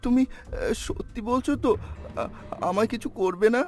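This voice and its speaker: A man's voice: extremely high pitch, moderate pace, faint music behind, pitched above the performer's own voice. A man voicing a naive, timid orphaned young lad in a cartoon, with a plaintive, hesitant manner. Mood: wary. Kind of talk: cartoon voice acting.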